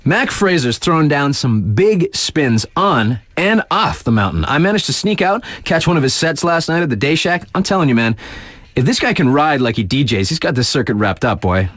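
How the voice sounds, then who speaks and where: An actor playing a laid-back but excitable young radio DJ in a video game, hyping snowboard competitors in playful, conversational slang. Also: male voice, a little high, normal speed, dry recording. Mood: upbeat, casual. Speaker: radio DJ and sports announcer.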